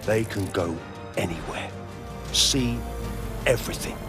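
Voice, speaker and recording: An actor playing a cold, ruthless male security company boss, speaking in a calm, controlled, menacing way. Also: on the low side, a little slow, over music. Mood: confident.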